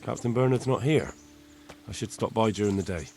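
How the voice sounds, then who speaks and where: An actor voicing a young medieval video game hero who is musing to himself. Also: male voice, lowish voice, brisk, a little mellow, soft background music, close to an everyday voice.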